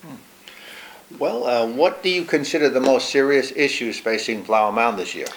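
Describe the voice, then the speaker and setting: A man's voice: mid-range voice, slight background noise, low-quality recording. Man hosting a local interview. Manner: measured, with fillers in questions. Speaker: interviewer and host. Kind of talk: read introduction and interview questions.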